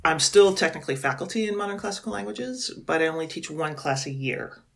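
A woman's voice: low-pitched, expressive, fairly quick, vocal fry. A woman in her forties, a university professor talking in a podcast interview, chatty and self-deprecating, laughing often. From Canada. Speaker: university professor and program director.

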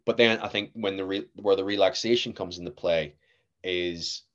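Lively low-voiced Northern Irish man. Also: normal speed, muffled recording. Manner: lively, acts out what others said. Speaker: actor, podcast guest. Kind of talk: casual interview chat.

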